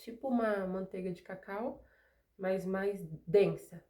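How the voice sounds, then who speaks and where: A woman's voice: mid-range voice, unhurried, warm tone. Chatty Brazilian woman with a cold. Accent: Brazilian Portuguese. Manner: chatty, digressive, rhetorical asides. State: recovering from a cold. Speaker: craft studio owner and channel host. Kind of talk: casual chat to camera while working.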